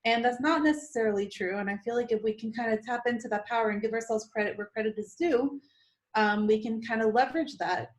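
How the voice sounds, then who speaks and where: Texas woman on a panel, chatty, lots of ums. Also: fairly high pitch. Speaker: panelist, UX writer. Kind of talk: casual panel discussion.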